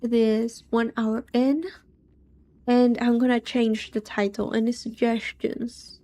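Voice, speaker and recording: A young British woman with a soft voice, chatting casually on a livestream with languid, drawling complaints. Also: on the high side, little pitch movement, slow pace. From Britain. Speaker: streamer.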